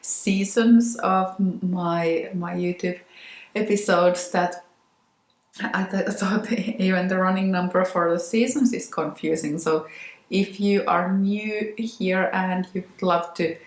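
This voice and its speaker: A woman from Finland with a Finnish accent, talking casually to camera as a knitting podcast host, pausing often with ums and restarts. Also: on the low side, steady tone, echoey room, poor audio. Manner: hesitant, many ums and restarts. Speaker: knitwear designer and podcast host.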